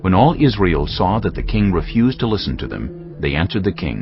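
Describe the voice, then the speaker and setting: A man with a very low voice narrates scripture as solemn drama. His pitch moves expressively, and clear background music sits under a slightly dull recording. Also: very animated, brisk. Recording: muffled audio under prominent music.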